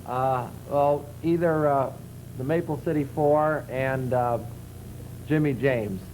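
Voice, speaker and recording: A man's voice: mid-range voice, slow, darkish tone. A man in a studio audience casually answering an interviewer's questions. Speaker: audience member. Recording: faintly noisy, distant 1970s TV tape.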